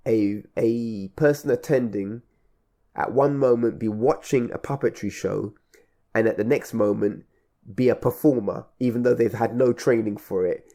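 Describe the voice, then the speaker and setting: A man with a fairly low voice talks as a radio host in a reflective conversation. He is measured and a little halting, often repeating and building on his phrases. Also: dark tone. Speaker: radio show host and event organiser.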